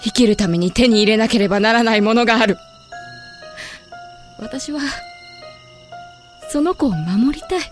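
A woman's voice: on the high side, moderate pace, long pauses, soft background music, close to an everyday voice. A woman playing a tough, protective warrior with blunt, masculine phrasing in a Japanese voice drama. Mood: resolute, urgent.

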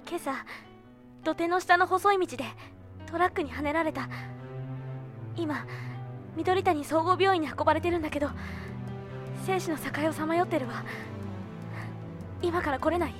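An actress playing a composed young college woman speaking gravely and carefully in a Japanese voice drama. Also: extremely high pitch, moderate pace, long pauses, music in the background. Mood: grave, urgent. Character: composed young woman, older college club friend breaking grave news. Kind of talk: Japanese audio drama acting.

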